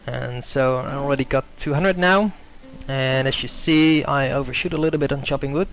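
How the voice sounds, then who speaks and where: A man's voice: mid-range voice, normal speed, a bit bright, faint music behind. A young Dutch-native man giving hesitant gameplay commentary, full of ums and self-corrections.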